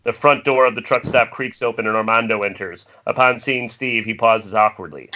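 A man's voice: on the low side, normal speed, bright, forceful tone, a little hiss or hum. A man reading stage directions as narrator at an amateur table read over an internet call. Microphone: remote call from home. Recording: muffled internet call audio.

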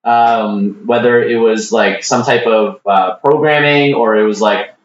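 A man's voice: fairly low pitch, measured pace, far from the mic, slight background noise. Male podcast host, loose and rambling, recorded off-mic in a roomy space with a dull, lo-fi sound. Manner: rambling, heavy on "like" and "um". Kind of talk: casual chat.

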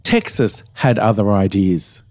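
An Australian man co-hosting a podcast, slightly hoarse as he recovers his voice, telling stories in a frank, casual way with the odd swear word. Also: lowish voice, unhurried, darkish tone, no echo, studio quality. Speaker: podcast co-host.

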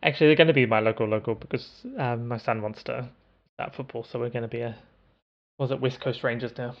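Gravelly New man, rambling. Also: mid-range voice, steady tone, quick pace. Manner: hesitant, rambling, with many ums and restarts. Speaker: podcast co-host. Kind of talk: casual chat. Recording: dull lo-fi podcast audio.

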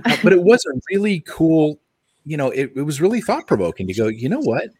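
A man's voice: fairly high pitch, sing-song, a little fast, darkish tone, slightly rough, no echo. A man hosting a podcast in casual chat, with an enthusiastic, jokey way of talking. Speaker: podcast host.

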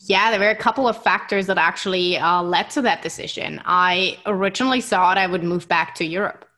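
German lady scientist, excitable and upbeat, bright forward voice, chatty interview. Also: lowish voice, a little fast. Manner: excitable, enthusiastic. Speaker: scientist guest. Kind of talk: interview conversation.

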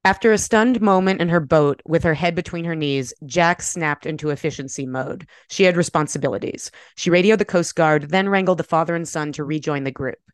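An American woman, an author speaking in an articulate, measured way with a smooth, clear voice. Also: low-pitched, no room sound, clean studio sound. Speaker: debut author, guest. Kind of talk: conversational interview talk and prose reading from own novel.

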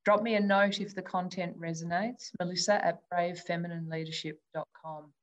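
A woman's voice: fairly low pitch, steady tone, a little fast. A woman hosting a leadership podcast, reading her intro and asking earnest, enthusiastic questions. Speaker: podcast host and interviewer. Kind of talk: scripted intro then interview questions. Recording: dull, lo-fi sound.

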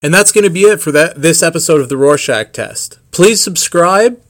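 A man's voice: on the high side, animated, moderate pace, loud music behind the voice. Man in an echoey room, emphatic talker. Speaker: podcast host. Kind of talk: opinionated casual discussion. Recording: roomy, echoing podcast recording.

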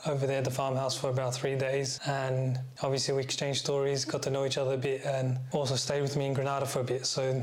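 A man's voice: medium pitch, monotone, fast talker, few pauses. A man hosting a podcast in a casual, conversational way. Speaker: podcast host. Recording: clear background noise and ambience.

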